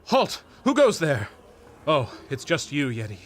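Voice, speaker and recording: An actor playing a guarded, formal sentry of a hidden people in an audio drama. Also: male voice, a little high, wildly swooping pitch, measured pace, slight background noise. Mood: challenging. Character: wary but hopeful male sentry of a hidden people.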